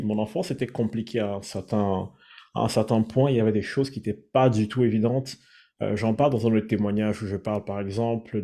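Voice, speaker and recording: A man from Cameroon, sharing his personal story in a thoughtful, measured way. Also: fairly low pitch, mellow. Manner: thoughtful, measured, searching for words. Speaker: guest sharing his testimony. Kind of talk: reflective personal testimony.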